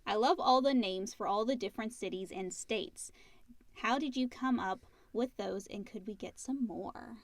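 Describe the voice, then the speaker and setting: A woman's voice: mid-range voice, normal speed, no echo. A woman co-hosting a casual question-and-answer podcast, informal and agreeable, chatting and reading out listener questions. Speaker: podcast co-host.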